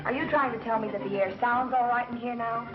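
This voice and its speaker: An actress playing a quick-witted, wry woman under suspicion in a 1930s mystery film. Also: on the high side, lightly acted. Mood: sarcastic. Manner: wry, sarcastic retorts. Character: quick-witted, sarcastic woman suspected of murder. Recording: muffled 1930s film soundtrack with music.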